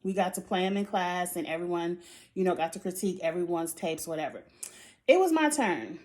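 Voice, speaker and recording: A woman with a high-pitched voice giving a casual, outspoken recap of a reality show, with sighs and laughs between points. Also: lively intonation, fairly quick, darkish tone. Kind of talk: casual opinionated chat.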